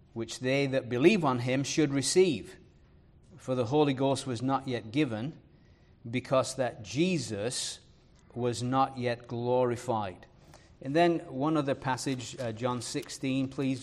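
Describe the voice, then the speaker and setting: A male preacher from northern England speaks in a Leeds accent blended with some Irish and American sounds. His pitch sits in the ordinary middle range. He reads scripture slowly and stresses the words, and his plain talk is earnest and full of "uh" pauses. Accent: Leeds English, touched by Irish and American. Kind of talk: sermon with scripture reading.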